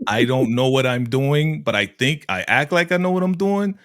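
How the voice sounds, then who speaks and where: Laid-back man with a lively voice. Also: moderate pace, no echo, studio quality. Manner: laid-back, laughs often, drops to a low mumble. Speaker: show host. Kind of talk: casual TV recap chat.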